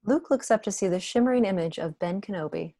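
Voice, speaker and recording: A woman's voice: medium pitch, fast talker, dark tone, no echo, studio quality. A woman reading stage directions as scripted narration at an amateur online table read. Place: home room, on a video call.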